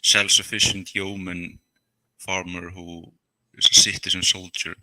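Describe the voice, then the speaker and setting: A man chiming in on a casual podcast discussion, halting and restarting his phrases. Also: low-pitched, level tone, bright, forceful tone, no echo, poor audio.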